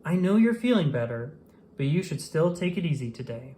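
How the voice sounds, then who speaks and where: Gentle, caring storybook guardian, a man, as read aloud. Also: mid-range voice, very animated, fairly quick, a little hiss or hum, natural voice. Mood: kindly firm. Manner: soothing, reassuring. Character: gentle, caring man looking after his little monkey.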